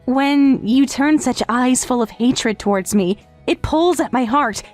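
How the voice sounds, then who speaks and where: A woman's voice: high voice, a little slow, a little mellow. A woman playing a devoted, clingy young step-sister who teases coyly in an English-dubbed manga romantic comedy. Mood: coy, teasing. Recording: music behind.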